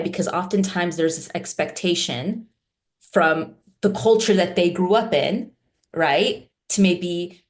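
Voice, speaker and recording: Lowish-voiced woman therapist, talks it through slowly, lots of "you know" and "right?". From United States. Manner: thoughtful, many "you know" and "right?" fillers. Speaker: therapist guest. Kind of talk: reflective interview talk.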